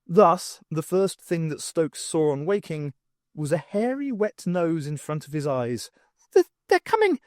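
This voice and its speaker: Male audiobook narrator, fairly high-set voice, dry close sound, scripted fiction read. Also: expressive, unhurried, darkish tone, no echo.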